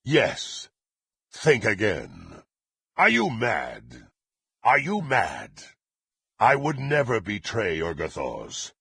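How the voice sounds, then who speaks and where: A man playing a murderous, proud warrior in a fantasy video game, with a gravelly, raspy voice and archaic, haughty, theatrical delivery. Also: lowish voice, wildly swooping pitch, slow pace, long pauses, sharp tone, no echo, clean studio sound, full character voice. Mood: furious, threatening. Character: murderous, menacing, proud warrior serving a dark lord.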